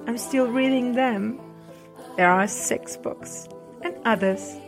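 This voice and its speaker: A woman podcast host whose first language is Portuguese speaks very slowly, with a gravelly edge to her voice. She recommends books and reads passages aloud, hesitating often. Soft music plays faintly behind her. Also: on the high side. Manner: unhurried, hesitant, with fillers and restarts. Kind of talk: casual book recommendations and reading aloud.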